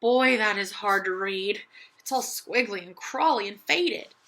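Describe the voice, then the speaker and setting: A woman's voice: mid-range voice, measured pace, sharp tone, low-quality recording. A woman voicing a bold, curious young girl doll in a children's story, with an eager, wide-eyed manner. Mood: decisive, excited. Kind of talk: children's audiobook character voice.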